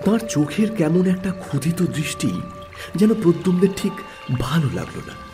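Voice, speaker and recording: A man narrating a literary story in a measured, expressive, theatrical way. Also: a little high, over music.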